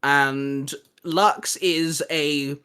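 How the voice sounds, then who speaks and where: A man co-hosting a fan channel, chatting casually and geekily as he pitches creative ideas. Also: fairly even intonation, very slow, pushed and bright, clear, resonant tone.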